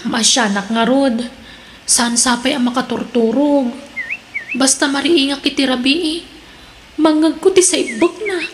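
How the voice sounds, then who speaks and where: Supportive woman friend, high pitch, Ilocano accent, radio serial acting, faint background noise. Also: measured pace, pauses often. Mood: concerned. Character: caring, urging female friend Sheila.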